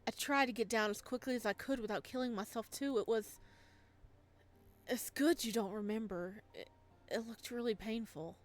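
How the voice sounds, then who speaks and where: A woman's voice: on the high side, normal speed, natural voice. A woman voicing a tender, guilt-ridden young man in a visual novel, speaking in an earnest, hesitant way. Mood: somber, reminiscing. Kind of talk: visual novel dialogue read aloud.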